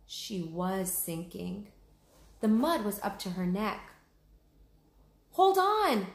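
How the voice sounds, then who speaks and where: A woman's voice: medium pitch, takes it slowly, gravelly, a little mellow. A woman hosting a read-aloud, narrating a children's storybook expressively. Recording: echoey room.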